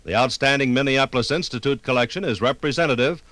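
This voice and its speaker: Old fifties documentary narrator man, medium pitch, formal n measured, dry studio sound. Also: a bit bright, no echo. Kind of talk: scripted 1950s radio documentary narration.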